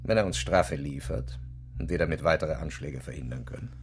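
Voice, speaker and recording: An actor playing a pragmatic intelligence officer in a radio drama, measured, formal and evasive. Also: male voice, rumbling low, faint music behind. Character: smooth-talking, pragmatic male intelligence officer handling informants. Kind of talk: naturalistic radio drama dialogue.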